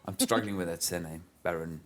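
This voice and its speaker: South African man, chatty halting interviewer. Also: sits low. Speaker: interviewer, show host.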